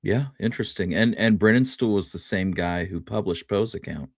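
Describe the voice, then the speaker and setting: A man with a very low voice takes part in a casual history discussion as co-host. He comments in a low-key, tentative way, and the recording is dry, without audible room. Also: normal speed, dry recording. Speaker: podcast co-host.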